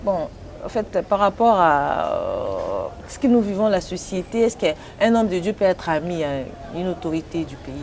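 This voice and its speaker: Polite interviewer woman, low voice. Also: sing-song, normal speed, few pauses, a little mellow. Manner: polite, thanks often, hesitant fillers. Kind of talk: interview questions. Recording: noisy background.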